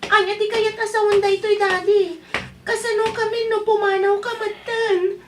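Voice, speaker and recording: A woman's voice: very high-pitched, little pitch movement, moderate pace, noisy background. A woman acting a worried, pleading younger sister in an Ilocano audio drama, with an Ilocano accent. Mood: upset, alarmed.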